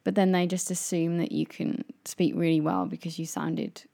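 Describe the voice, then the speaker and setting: A woman's voice: fairly low pitch, level tone, normal speed, creaky, dark tone. A young British woman in casual chat as a podcast co-host, chatty and playful, laughing often.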